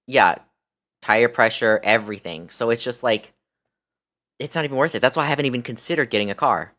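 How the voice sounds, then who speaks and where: A young American man chatting casually as a live streamer, ranting in an animated, exasperated way and swearing freely. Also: fairly low pitch. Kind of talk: casual livestream chat.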